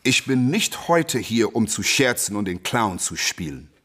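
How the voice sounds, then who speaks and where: An actor in a German radio play voicing a proud, fiery young boxer who preaches emphatically with rhetorical repetition. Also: male voice, fairly low pitch, big pitch swings, a little slow, fairly bright tone, a little hiss or hum. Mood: fervent preaching.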